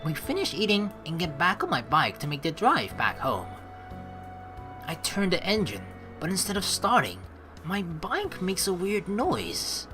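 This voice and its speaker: A woman playing through a game as host, reading its text aloud and chatting with playful, expressive commentary. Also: lowish voice, a little slow, music in the background. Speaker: let's play host.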